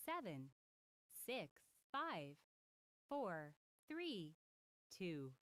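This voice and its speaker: A woman's recorded voice counting down seconds as a game timer, calm and measured. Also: lowish voice.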